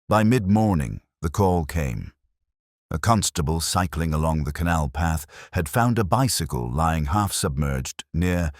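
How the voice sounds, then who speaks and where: A man acting as a brooding, bookish detective inspector with an extremely deep voice and a close, dry sound. He narrates a mystery in measured, wry, literary phrases, with lively rises and falls in pitch. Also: dry recording, exaggerated voice. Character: brooding, erudite male detective inspector telling his case. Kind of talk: scripted first-person mystery narration.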